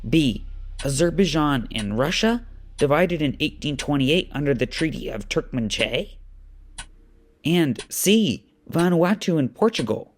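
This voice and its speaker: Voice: medium pitch, animated, measured pace, faint music behind, close to an everyday voice. A performer playing an anxious, earnest young boy thinking aloud during an exam in an audio drama. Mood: worried, puzzled. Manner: muttering to himself, earnest.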